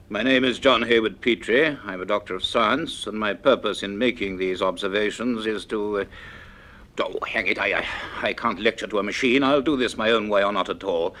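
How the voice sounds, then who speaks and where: An actor playing a learned, slightly gruff male professor in a radio drama, speaking in a formal, donnish manner. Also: fairly low pitch, a bit bright. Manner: donnish, formal, hesitant when reminiscing. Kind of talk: radio drama monologue and lecturing.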